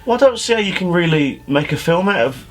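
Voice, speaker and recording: A man's voice: high-pitched, animated, quick pace, soft background music. A British man co-hosting a casual film chat, bantering and often ending on questions. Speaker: co-host. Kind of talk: casual banter about films.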